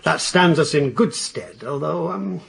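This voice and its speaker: An actor playing a fussy, hesitant Victorian defense attorney in a radio drama, speaking formally and haltingly with a husky, creaky voice. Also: male voice, fairly high pitch, expressive, a bit bright, slight background noise, heavily acted. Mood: measured concern.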